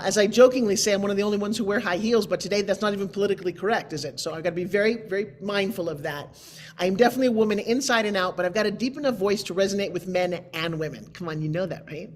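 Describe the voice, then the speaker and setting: A middle-aged woman from New York, chatting informally and emphatically as a show host on a video call. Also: mid-range voice. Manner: emphatic, theatrical, conversational asides. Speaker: show host, motivational speaker. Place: indoor room, on a video call. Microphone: video call microphone.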